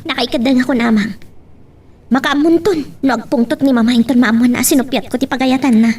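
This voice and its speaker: A woman's voice: high voice, a little fast, a little mellow, husky. A woman playing an emotional, strong-willed woman in an Ilocano family love drama. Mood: pleading. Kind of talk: Ilocano audio drama acting. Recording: faint background noise.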